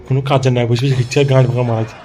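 A man playing a vengeful, irritable, foul-mouthed politician boss in an amateur comedy cartoon, gruff and scolding. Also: mid-range voice, little pitch movement, very fast, warm tone, soft background music, lightly acted.

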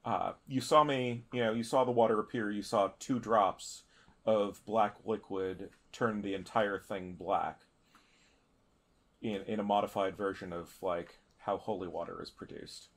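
Male tabletop player, improvised narration, low voice, very slow and deliberate with halting phrasing. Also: steady tone.

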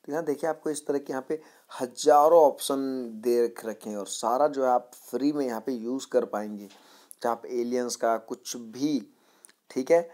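A man's voice: mid-range voice, moderate pace, warm tone. A man with an Indian accent hosting a casual voice-over app tutorial. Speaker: host of a tech tutorial channel.

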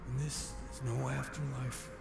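A man playing a somber, bereaved warrior in an animated trailer, with a gravelly voice. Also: medium pitch, normal speed. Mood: mournful. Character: bereaved, somber warrior longing for wife and son. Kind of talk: dramatic trailer voice-over. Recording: echoey trailer playback with music.

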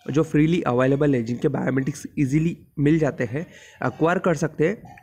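A man with an Indian accent, hoarse from a sore throat, giving a casual, blunt voice-over guide to a mobile game. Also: fairly high pitch. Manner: informal, blunt, mixes English terms into speech. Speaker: mobile game channel host.

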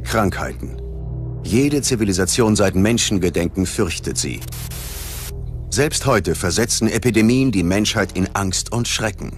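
Guy narrating a doom documentary, lowish voice, dramatic and measured, loud music underneath. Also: animated. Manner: dramatic, ominous, measured delivery. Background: prominent background music.

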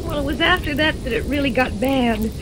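A woman's voice: sits high, a little fast, close to an everyday voice. A woman playing a frightened, pleading wife in a 1940s radio drama, with melodramatic delivery. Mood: haunted, fearful. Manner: breathless, tearful, melodramatic pleading. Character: terrified, pleading wife recounting a nightmare. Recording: noisy vintage 1940s radio recording.

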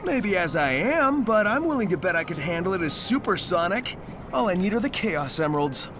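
An actor voicing a cocky, good-hearted young hedgehog hero in a video game, casual and confident. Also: male voice, sits high, sing-song, a little fast, background noise. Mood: cocky, questioning. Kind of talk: video game cutscene acting.

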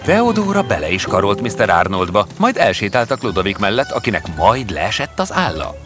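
Male narrator for fairy tales: lowish pitch, animated delivery, music playing clearly underneath. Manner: expressive storytelling. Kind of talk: scripted fairy tale narration. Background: background music.

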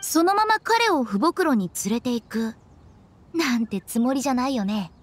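A woman's voice: sits high, lively intonation, normal speed, slight background noise. A woman playing a playful, shrewd young funeral director in an anime game, with teasing, sing-song, probing delivery. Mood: probing, suspicious. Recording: background music under voice.

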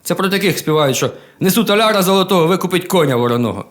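A man telling a humorous folk tale in a colloquial, expressive, folksy manner. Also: high voice, rapid-fire. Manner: colloquial, expressive, with chuckles and exclamations. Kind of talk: folksy humorous oral storytelling. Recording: music behind the voice.

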